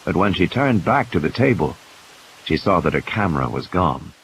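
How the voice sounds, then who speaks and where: A man's voice: low-pitched, sing-song, moderate pace, fairly bright tone, rough recording. A man reading scripted dramatic narration as the storyteller of an audiobook. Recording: clear background hiss and noise.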